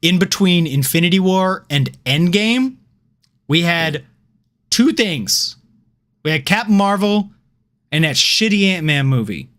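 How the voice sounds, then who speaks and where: A man co-hosts a loose podcast conversation, pitched a touch above most men. He argues his view at length and swears casually. He often repeats words as he searches for the next thought. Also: a little slow, pauses often. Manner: animated, opinionated, swears freely, repeats words when starting. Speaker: podcast co-host. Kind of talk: casual chat.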